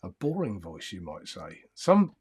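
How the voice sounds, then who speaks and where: Man, calm soothing voice, lowish pitch, rambling casual live-stream chat. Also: a little slow, husky. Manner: rambling, easygoing, chuckles often. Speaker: livestream host.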